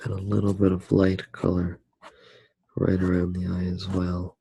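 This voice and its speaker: A soft-spoken man narrating a drawing step by step in a hushed, unhurried ASMR style. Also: deep voice, monotone, vocal fry, husky.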